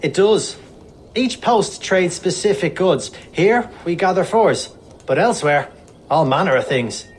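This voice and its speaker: An actor playing an obliging, plain-spoken fur trapper and trader in a historical video game, with a matter-of-fact manner. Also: male voice, high voice, expressive, measured pace, a little hiss or hum. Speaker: game character, trading post worker. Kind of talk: video game cutscene acting.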